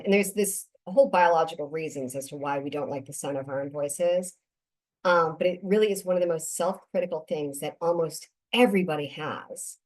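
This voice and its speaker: Low-voiced woman hosting a podcast. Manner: conversational, affirming. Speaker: podcast host. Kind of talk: casual podcast interview.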